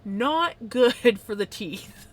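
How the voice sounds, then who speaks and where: A woman's voice: on the high side, expressive, drawn-out words. A woman live streamer with a slight lisp, chatting casually and rambling to her viewers with many fillers and little laughs.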